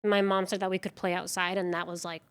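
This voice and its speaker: A woman's voice: on the low side, flat delivery, fast talker, clear, resonant tone. A woman from Venezuela, a native Spanish speaker, chatting casually as a guest on an interview show, with lots of fillers and self-deprecating asides.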